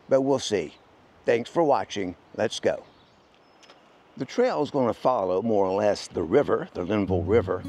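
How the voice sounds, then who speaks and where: A man in his sixties narrating a casual outdoor travel vlog in a plainspoken, folksy way. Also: mid-range voice. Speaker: travel vlogger and hiker. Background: music.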